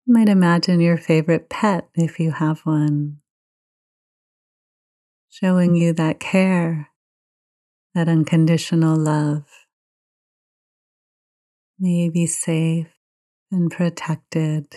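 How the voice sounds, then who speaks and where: A woman leading a guided meditation in a gentle, soothing, unhurried manner. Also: low voice, fairly even intonation. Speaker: meditation teacher.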